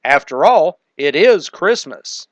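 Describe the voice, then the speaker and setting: A man voicing a jolly, booming old Santa Claus with exclamatory, theatrical delivery in a comic radio play. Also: big pitch swings, slow, no room sound.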